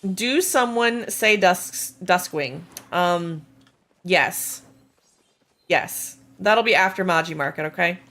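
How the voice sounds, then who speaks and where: A woman with a slightly hoarse, gravelly voice, chatting casually with viewers on a game live stream while reading and answering chat. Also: fairly low pitch, slow, fairly bright tone. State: slightly hoarse, losing her voice.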